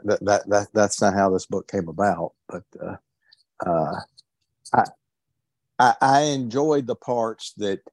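A man with a fairly low voice speaks casually in a book group discussion. He moves haltingly, restarting and repeating short words many times before finishing a thought. The sound is dry, with little room in it. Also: a little mellow, no room sound. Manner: halting, heavily stammered repetitions of words.

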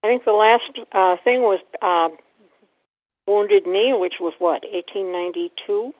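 A woman's voice: mid-range voice, a little slow, dry recording. A woman talking casually as a member of a group discussion over lo-fi audio. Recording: dull, dry lo-fi call audio.

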